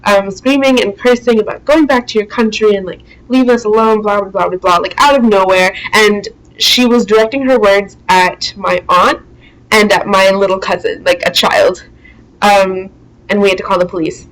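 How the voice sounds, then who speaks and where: A woman's voice: mid-range voice, fairly even intonation, moderate pace, fairly bright tone, a little hiss or hum, low-quality recording. A young woman from the United States, the daughter of immigrants, speaking candidly with frequent fillers in an interview. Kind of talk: documentary interview.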